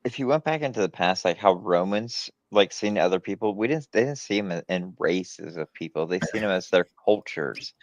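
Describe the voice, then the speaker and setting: A man's voice: deep voice, expressive, moderate pace, few pauses, no room sound. An American man talking casually in a group discussion over a slightly dull, lo-fi mic.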